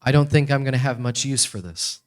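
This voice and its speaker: A native English-speaking man speaking as a guest church teacher, in short halting phrases with pauses left for an interpreter. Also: mid-range voice.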